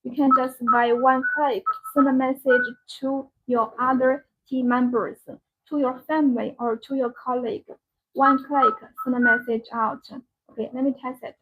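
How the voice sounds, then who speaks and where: A woman with a fairly high voice sells products on a live stream. Her English is non-native, halting and repetitive as she demonstrates and pitches. The audio is thin and tinny, as if down a phone line. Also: level tone, very noisy. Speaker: live-stream sales host.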